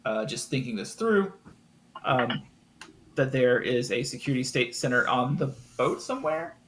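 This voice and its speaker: A man narrating a tabletop game as game master, improvising with frequent ums and pauses. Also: medium pitch, animated, a little hiss or hum.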